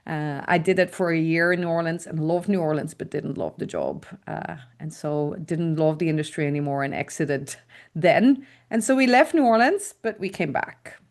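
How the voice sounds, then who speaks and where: A woman's voice: deep voice, animated, normal speed, darkish tone. Dutch woman talking over a video call. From Netherlands. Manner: thoughtful, with frequent ums and uhs. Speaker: guest consultant. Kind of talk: casual interview talk.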